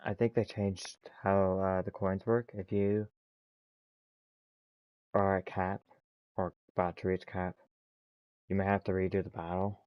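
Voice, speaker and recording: A man's voice: low voice, level tone, measured pace, pauses often, no room sound, dull audio. A man giving casual, offhand commentary as he plays a video game, muttering to himself. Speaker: gaming streamer.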